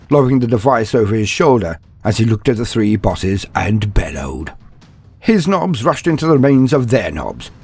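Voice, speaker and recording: A man's voice: mid-range voice, husky. A man narrating a comedic fantasy story in a mock-epic, dramatic storytelling manner.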